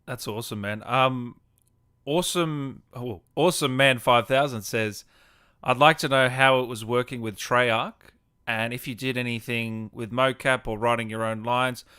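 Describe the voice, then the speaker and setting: A man's voice: mid-range voice, steady tone, normal speed, sharp tone, clean tone. A man hosting a casual livestream interview, reading out questions in a friendly, matey, informal way. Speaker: interviewer, gaming show host.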